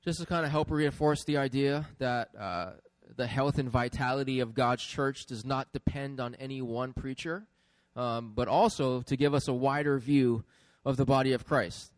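A man from Hawaii talks informally from the front of a church as its pastor. His voice is of average pitch and recorded dry. He moves haltingly through his introduction of a guest. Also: fairly even intonation, no echo. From Hawaii, United States.

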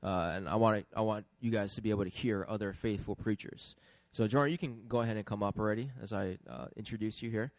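A man from Hawaii speaking informally and haltingly as a pastor introducing a guest preacher. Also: on the low side, fairly even intonation, no room sound. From Hawaii, United States.

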